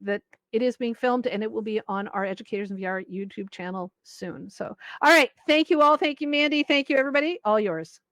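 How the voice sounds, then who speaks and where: A woman's voice: fairly high pitch, a little fast, hardly any pauses, a bit bright, no room sound. A woman hosting an event, introducing a panel to an audience in an enthusiastic, emphatic way. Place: live virtual reality event, voice picked up close on a mic.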